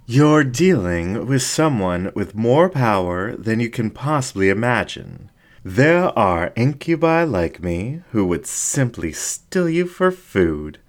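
A man voicing a seductive, haughty fox incubus ringmaster in a story, with a drawn-out, theatrical, teasing delivery. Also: mid-range voice, big pitch swings, voice pushed up. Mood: menacing, seductive.

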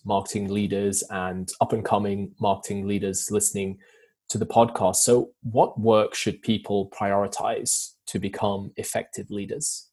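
Low, even-toned man hosting a podcast. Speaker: podcast host. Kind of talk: interview questioning and summing up.

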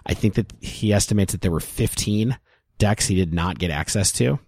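A man with a very low voice talks through figures at length on a podcast. He is thoughtful and casual, recorded close with a dry, dead sound. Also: normal speed, a little mellow, slightly rough, no room sound. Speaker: podcast co-host.

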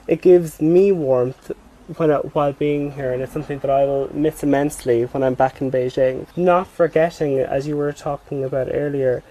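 A man from the west of Ireland with an Irish accent, speaking as a panel guest in a measured, appreciative way. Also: on the high side, steady tone, mellow, rough recording. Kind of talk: unscripted discussion.

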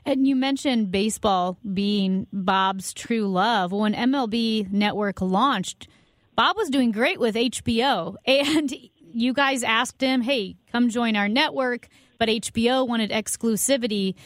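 A woman hosting a radio show, asking measured questions and promoting the episode. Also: a little high, no echo, studio quality.

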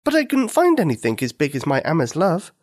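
A woman voicing a loving, earnest little girl in a children's story, with a high, pleading childlike voice. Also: wildly swooping pitch, brisk, darkish tone, husky, exaggerated voice.

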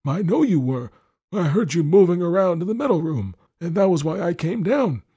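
A man's voice: sits high, very animated, moderate pace, warm tone, husky, voice pushed up, exaggerated voice. A man voicing a miserly, suspicious old lord in an audiobook, snarling, mocking and domineering. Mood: suspicious, scolding. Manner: snarling, grumbling, mocking, nagging. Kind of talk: audiobook character voice.

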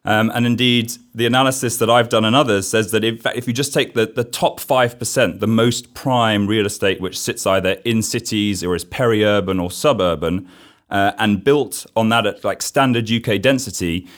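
A man's voice: fairly low pitch, normal speed. A man speaking analytically as a guest in a panel discussion.

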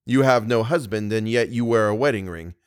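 A man's voice: fairly low pitch, a little fast, no room sound, studio quality, natural voice. A man voicing an anxious, courteous young nobleman in love, formal and impassioned. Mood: urgent, insistent.

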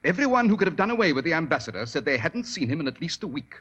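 A vintage 1940s radio drama recording of a shrewd, commanding detective. The voice is fairly high and swings widely in pitch, with a forceful edge. The delivery is precise, formal and theatrical. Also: male voice, very animated, rapid-fire, husky, lo-fi sound, full character voice. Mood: coolly accusing.

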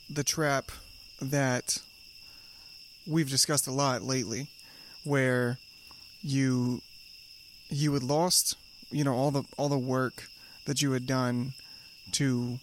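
A man co-hosts a casual podcast, speaking in an easygoing, reflective way. He circles his points with restarts and small fillers, steering the conversation gently. A faint hiss runs under the recording. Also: mid-range voice, steady tone, slow pace. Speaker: podcast co-host.

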